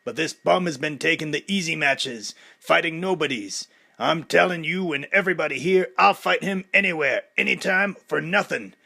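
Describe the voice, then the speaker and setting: A man voicing a loud, menacing young male boxer who taunts and boasts about himself. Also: fairly high pitch, normal speed, gravelly, few pauses, pitched above the performer's own voice, exaggerated voice.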